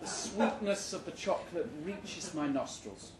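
Higher-voiced man reading a story live, roomy. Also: animated, unhurried. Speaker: story reader. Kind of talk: live short-story reading, first-person narration. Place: indoor live reading event before an audience. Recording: roomy live venue, background noise.

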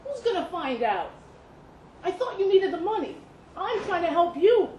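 A woman's voice: extremely high pitch, sing-song, moderate pace, darkish tone, distant mic, lo-fi sound, pitched above the performer's own voice. A woman voicing an ailing, persuasive father in a story, coaxing and needy as she presses his case. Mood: urgent, pushy. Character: ailing, wheedling, persuasive father of a young boxer. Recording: roomy live venue, some background noise.

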